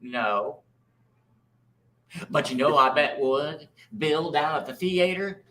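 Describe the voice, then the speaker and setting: A man's voice: a little high, slow, a bit bright. A man reading the part of a friendly, folksy small-town man, starstruck and eager to help. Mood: helpful.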